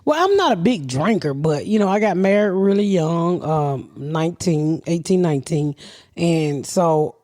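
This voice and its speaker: A woman's voice: on the low side, animated, measured pace, mellow, no room sound, clean studio sound. A middle-aged woman, a podcast host telling a long story in a blunt, profane, rambling way. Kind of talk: casual storytelling.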